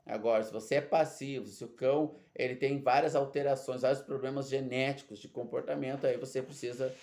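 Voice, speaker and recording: A man's voice: mid-range voice, steady tone, a little fast, darkish tone. A middle-aged man with a Brazilian Portuguese accent, speaking as a dog trainer in an informal, didactic monologue full of analogies and tag questions. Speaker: dog trainer and canine behavior specialist. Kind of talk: informal explanatory monologue to camera.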